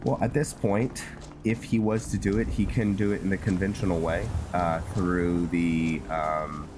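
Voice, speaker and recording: A man doing casual, rambling gameplay commentary, thinking aloud and pausing mid-sentence as he hosts. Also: sits low, little pitch movement, noisy background. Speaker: gameplay host narrating a roleplay series. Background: music behind the voice.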